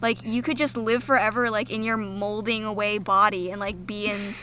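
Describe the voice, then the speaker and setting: A woman's voice: medium pitch, steady tone, normal speed, bright, forceful tone, a little hiss or hum. A woman co-hosting a podcast, giving blunt, sarcastic opinions in casual chat.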